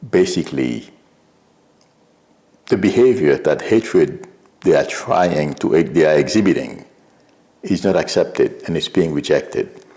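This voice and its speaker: Low gravelly-voiced man from Haiti. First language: Haitian Creole. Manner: rambling, restarts often, soft chuckles. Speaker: psychic streamer. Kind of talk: casual commentary livestream.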